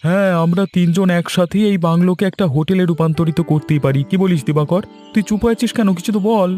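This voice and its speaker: A man voicing a bold, adventurous young man in an animated horror story. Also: high voice, fairly quick, faint music behind, natural voice. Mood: eager. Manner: eager, scoffing.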